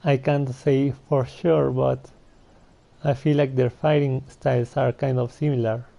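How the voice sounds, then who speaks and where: A man's voice: medium pitch, little pitch movement, unhurried, creaky, warm tone. A laid-back man doing casual video game playthrough commentary and reading out dialogue, on a muffled mic with faint game music behind. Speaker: gamer playing through a video game.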